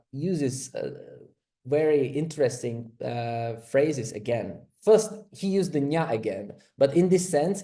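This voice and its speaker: A man whose first language is Czech, giving an academic talk in slow, hesitant speech full of fillers and restarts. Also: medium pitch, dark tone. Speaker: lecturer.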